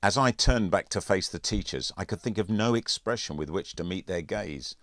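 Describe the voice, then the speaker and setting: A man's voice: sits low, expressive, normal speed, fairly bright tone, dry recording, clean studio sound. A man reading a scripted first-person story as an audiobook narrator. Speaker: author reading own audiobook.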